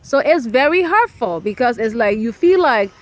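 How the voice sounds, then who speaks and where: A woman's voice: fairly high pitch, lively intonation, moderate pace. A woman with a Liberian accent hosting a discussion interview, speaking earnestly and murmuring agreement often. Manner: talks earnestly, with many affirming murmurs. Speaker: host.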